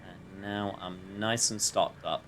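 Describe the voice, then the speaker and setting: A man giving casual, rambling, jokey live commentary while he plays a video game, with animated outbursts. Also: low voice, slow pace, a little hiss or hum. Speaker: streamer playing a video game.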